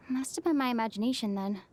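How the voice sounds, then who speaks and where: A woman playing a calm young adventuring traveler in an anime video game. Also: a little high, lightly acted.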